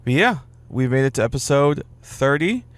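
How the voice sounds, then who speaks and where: A man's voice: medium pitch, big pitch swings, normal speed. A man in his thirties co-hosting a casual podcast, playful and laughing, reading listener posts aloud. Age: 30s. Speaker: podcast co-host. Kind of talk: casual chat.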